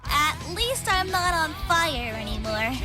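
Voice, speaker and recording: Very high, feisty cartoon girl. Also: very animated, unhurried. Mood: indignant, alarmed. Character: feisty, peppy young female cartoon animal heroine. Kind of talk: animated comic dub acting. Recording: voice over prominent music.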